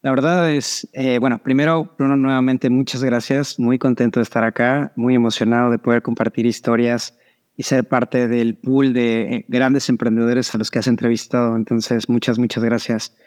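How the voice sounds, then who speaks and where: A man's voice: medium pitch, flat delivery, normal speed, darkish tone. A middle-aged man with a Mexican accent, giving conversational interview answers as a guest and mixing in English business terms. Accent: Mexican Spanish. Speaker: podcast guest, startup founder.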